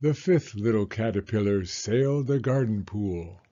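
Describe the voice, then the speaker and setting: Man, 60s, children's storyteller: low-pitched, raspy, measured delivery, slightly dull recording. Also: warm tone. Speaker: story reader and host, elementary school teacher. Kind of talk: children's picture-book read-aloud.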